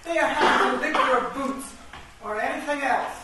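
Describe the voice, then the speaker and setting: A woman's voice: high-pitched, moderate pace, pushed and bright, recorded from a distance. A woman playing a haughty, defiant chess queen, imperious and dramatic, in a comic radio play. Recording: echoey, noisy stage recording from afar.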